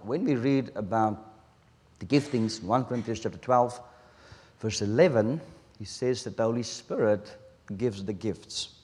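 Afrikaans-accented preacher in a reverberant hall. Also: male voice, fairly low pitch, a little mellow. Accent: South African Afrikaans. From South Africa. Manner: earnest, halting, with restarts and pauses. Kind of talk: sermon.

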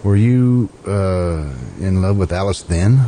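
Man acting a very deep, stern, suspicious guard. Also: takes it slowly. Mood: probing. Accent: American. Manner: blunt, accusing, wary. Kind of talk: old-time radio drama acting. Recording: amateur radio recording, faint background noise.

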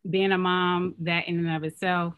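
A woman in her thirties from Oakland, a clothing business owner, talking casually and softly in an interview. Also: on the low side, little pitch movement, normal speed, clear, resonant tone, narrow, tinny audio. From Oakland, California.

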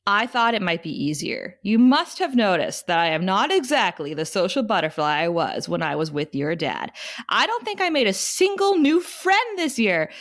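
A woman reading the part of a gentle, wistful middle-aged mother in a script table read. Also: mid-range voice, sing-song, normal speed, close to an everyday voice. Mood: wistful, reassuring.

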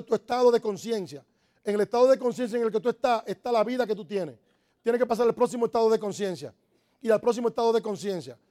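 A man's voice: high voice, darkish tone. A man from the Republic, giving an emphatic motivational business talk to a live audience, repeating his key points. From Dominican Republic. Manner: emphatic, repetitive, preaching-like exhortation. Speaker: network marketing motivational speaker.

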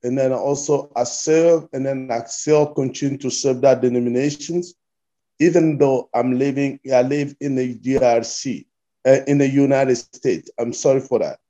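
A man in his fifties with a Congolese accent, a pastor answering interview questions haltingly and repeating his phrases as he goes. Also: mid-range voice, fairly even intonation, mellow, highs cut off. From Democratic Republic of Congo. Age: 50s. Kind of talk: interview answers, recounting life story.

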